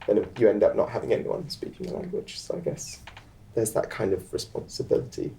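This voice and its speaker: A young man, a filmmaker guest, chatting at a live panel in a halting way, with many ums and restarts. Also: fairly low pitch, steady tone, gravelly, warm tone, poor audio. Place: live event on stage.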